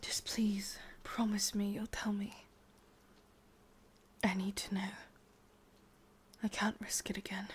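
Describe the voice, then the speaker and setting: A woman with an English accent playing a sadistic, possessive witch in a hushed, breathy ASMR roleplay, slow and coaxing. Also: mid-range voice, level tone, a little hiss or hum, close to an everyday voice. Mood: coaxing, careful.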